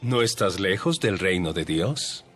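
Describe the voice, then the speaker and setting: A man's voice: low-pitched, expressive, measured pace, fairly bright tone, faint music behind. A man playing an authoritative religious teacher in a dramatized audio Bible, instructing followers in a didactic, emphatic way. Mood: solemn teaching.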